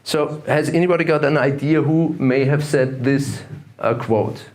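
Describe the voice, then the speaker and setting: A man from Austria with an Austrian German accent giving a talk at a conference, halting and full of fillers and restarts. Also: on the high side, a little mellow. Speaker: conference speaker. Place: conference room with audience.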